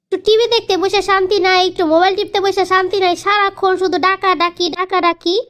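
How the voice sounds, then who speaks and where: Woman voicing a very shrill, quarrelsome young wife. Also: level tone, fast talker, a bit bright. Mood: defiant, irritated. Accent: Bangladeshi Bengali. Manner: complaining, repeats words. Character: quarrelsome, stubborn young wife and sister-in-law. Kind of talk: cartoon family comedy drama.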